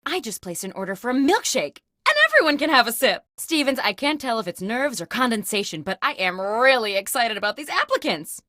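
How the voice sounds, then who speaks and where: A woman's voice: a little high, big pitch swings, fairly quick, few pauses, pushed and bright, dry recording, clean studio sound. A woman playing a peppy, eccentric corporate boss who hosts a video game contest in an upbeat, office-executive manner. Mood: chirpy, eccentric. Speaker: game host. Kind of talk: scripted game narration.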